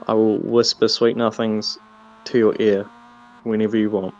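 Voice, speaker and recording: A man with a New Zealand accent co-commentating an online car race, joking and getting excited at the action. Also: fairly low pitch, moderate pace. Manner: jokey, excitable on track action. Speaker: sim racing co-commentator. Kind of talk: casual live race commentary. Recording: dull lo-fi mic.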